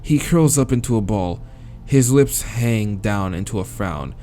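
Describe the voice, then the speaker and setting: Low-voiced man reading a game story aloud. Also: animated, measured pace, warm tone, soft background music. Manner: casual, crude interjections. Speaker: let's player reading a visual novel aloud. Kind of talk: reading game narration aloud with casual asides.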